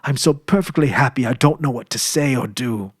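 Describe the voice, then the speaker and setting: A man voicing an overjoyed young girl, pitched up. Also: a little fast, husky, no echo, studio quality, lightly acted. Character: young girl, joyful and emotional.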